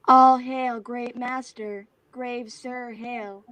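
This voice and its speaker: Woman playing an airy AI spirit: high voice, formal, precise, serenely superior, theatrical. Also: level tone, drawn-out words, fairly bright tone, no room sound, low-quality recording. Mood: grand, declamatory. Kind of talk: staged reading of a stage play.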